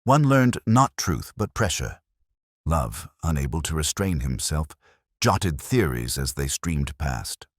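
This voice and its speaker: A man with an extremely low, husky voice narrates a detective mystery. His pitch moves in lively sweeps while he keeps a measured, literary storytelling manner. The sound is close and dry. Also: dry recording.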